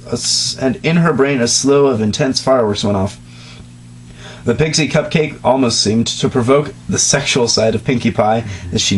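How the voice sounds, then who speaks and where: A man narrating a story in a mock-serious way, breaking off to rib his listener. His pitch is right in the middle for a man. A faint electrical hum runs behind him. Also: poor audio. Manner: mock-serious narration broken by teasing asides. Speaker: reader of a fan story. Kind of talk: comic dramatic reading among friends.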